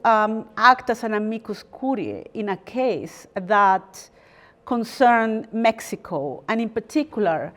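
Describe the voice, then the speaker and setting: Slow, measured woman lecturing. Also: medium pitch. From Peru. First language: Spanish. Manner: measured, thoughtful, frequent ums and pauses. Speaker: barrister and guest lecturer. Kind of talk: formal university lecture.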